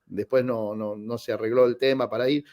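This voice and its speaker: A man's voice: mid-range voice, fairly even intonation, fairly quick, a little mellow. Argentine man telling stories in an interview. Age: 40s. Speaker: guest, tenor singer. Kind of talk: conversational radio interview, storytelling.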